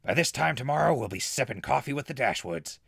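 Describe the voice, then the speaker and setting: A man playing an excitable, jittery wasteland survivor who records a rambling, dramatic radio diary in an audio drama, with a gravelly voice. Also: mid-range voice, no echo, clean studio sound, exaggerated voice. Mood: upbeat. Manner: rambling, dramatic, with hesitant pauses.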